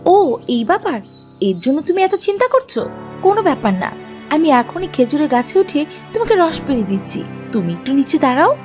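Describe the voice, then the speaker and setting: Woman voicing a high-pitched, defiant young village heroine. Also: big pitch swings, fast talker, over music. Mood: eager, confident. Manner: defiant, emphatic. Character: bold, stubborn, quick-to-sulk young village woman. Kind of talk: Bengali fairy-tale cartoon dubbing.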